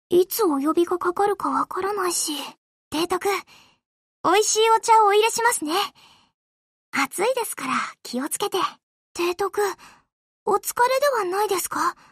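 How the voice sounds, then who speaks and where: Gentle young warship girl, anime game, very high bright voice, polite, deferential. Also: no room sound, studio quality, full character voice. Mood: soft, attentive. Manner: soft-spoken, courteous, deferential. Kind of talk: Japanese anime game voice acting.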